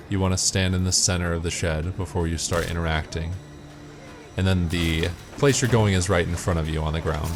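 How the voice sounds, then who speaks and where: A man giving matter-of-fact, step-by-step instructional commentary as he narrates a video game walkthrough. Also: low voice.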